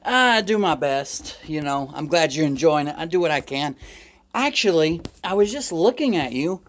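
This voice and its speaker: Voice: mid-range voice, animated, moderate pace, close to an everyday voice. Someone playing a chatty, friendly, boastful massage therapist in a comedy skit, making casual small talk. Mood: friendly, curious.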